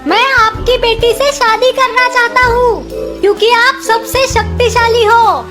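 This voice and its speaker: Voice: very high-pitched, fairly even intonation, measured pace, over music. A performer voicing a small, boastful mouse in a children's cartoon story, with a self-important, declamatory delivery. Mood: indignant, insistent.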